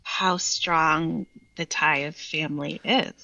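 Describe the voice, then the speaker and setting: Creaky, bright-voiced Vietnamese American woman. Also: low-pitched, steady tone, takes it slowly, dry recording, highs cut off. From Vietnamese American, from the United States. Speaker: guest poet. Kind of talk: reflective conversation and poetry reading.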